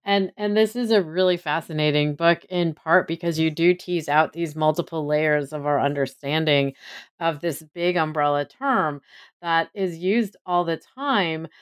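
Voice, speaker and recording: A woman interviewing an author, framing her questions in long, measured sentences. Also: low-pitched, clear, resonant tone, no room sound, studio quality. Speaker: podcast interviewer.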